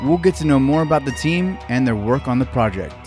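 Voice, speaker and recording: A man's voice: mid-range voice, expressive, a little fast, over music. A man hosting a podcast, upbeat and joking as he welcomes guests. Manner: upbeat, joking, laughs often. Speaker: podcast host. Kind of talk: casual podcast interview.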